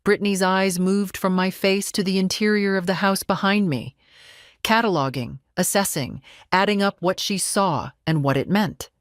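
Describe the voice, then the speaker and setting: A woman reading scripted first-person dramatic narration in a measured, composed, deliberate manner. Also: mid-range voice, dry recording, clean studio sound. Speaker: story narrator.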